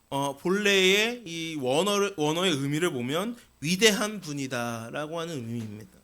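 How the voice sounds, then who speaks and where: A man's voice: high voice, bright, forceful tone. A man preaching a Sunday sermon as senior pastor, speaking earnestly to his congregation and posing rhetorical questions. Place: church sanctuary.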